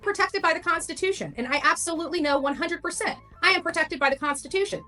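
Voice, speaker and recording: A woman's voice: extremely high pitch, fast, sharp tone, soft background music. A woman talking in an informal livestream monologue, defiant, emphatic and combative. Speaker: livestreamer covering a case.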